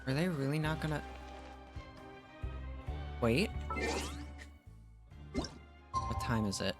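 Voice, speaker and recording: Streamer wanted: casual gameplay reactions, slow delivery, lively pitch swings, thinking out loud. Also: music in the background. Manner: musing aloud, reacting to the game. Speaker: game streamer. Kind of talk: casual live gameplay commentary.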